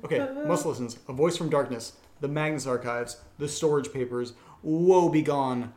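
A man hosts a casual podcast Q&A in a roomy, echoing space. His voice sits at an average pitch as he reads out questions and chats informally. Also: normal speed, a little mellow. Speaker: podcast host. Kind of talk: casual chat, reading listener questions. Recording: echoey room.

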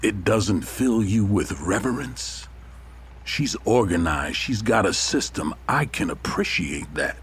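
An actor playing a cocky, menacingly playful detective from a story come to life, deliberate and theatrical in an audio drama. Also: male voice, on the low side, animated, a little hiss or hum. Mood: smooth, assured. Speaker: actor in a fiction podcast.

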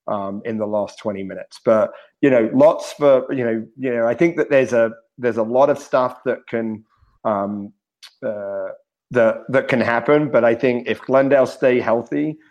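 A man's voice: mid-range voice, a little mellow. A man giving studio rugby analysis, hedging with lots of "you know" and "I mean". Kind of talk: studio commentary.

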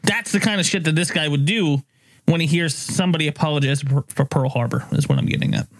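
A man's voice: on the high side, fast, a little hiss or hum. A man hosting a podcast in casual chat, telling stories in a loose, profane way. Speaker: podcast host.

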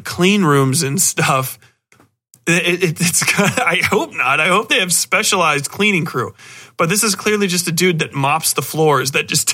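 A man's voice: on the high side, sharp tone. A man hosting a podcast, giving a casual, rambling review in a sardonic, exasperated way. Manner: sardonic, exasperated, mockingly acts out lines. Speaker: podcast host.

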